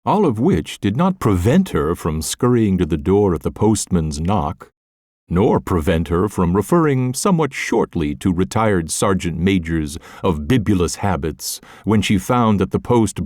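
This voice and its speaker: A man's voice: deep voice, very animated, a little slow, darkish tone, dry recording, clean studio sound. A man narrating a literary story as part of a scripted audio drama.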